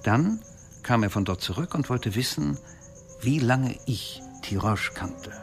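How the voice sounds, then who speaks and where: Ein Schauspieler spielt im Hörspiel einen bedrückten, nachdenklichen Akademiker, der behutsam berichtet. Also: male voice, medium pitch, lively intonation, unhurried, gravelly, soft background music. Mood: uneasy, reflective. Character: troubled, reflective male academic, recalling a colleague. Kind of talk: radio drama dialogue.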